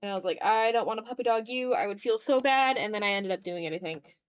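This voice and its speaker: A young adult woman chatting casually on an art livestream, animated and slangy, with a self-deprecating way of talking. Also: medium pitch, fast, smooth. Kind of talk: casual livestream chat while drawing.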